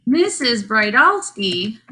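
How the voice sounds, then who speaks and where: A woman voicing an innocent little girl in a fairy tale, with a deliberate, wondering delivery. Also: fairly high pitch, very animated, bright, forceful tone, exaggerated voice.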